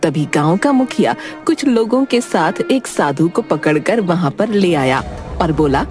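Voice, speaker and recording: Woman storyteller, lower-pitched, over music. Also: lively intonation, unhurried, darkish tone. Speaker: narrator. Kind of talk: scripted narration of a children's moral story. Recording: music under the voice.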